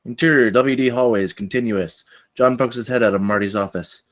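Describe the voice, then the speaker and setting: A man's voice: mid-range voice, a little fast. A man narrating stage directions from a script at a live table read.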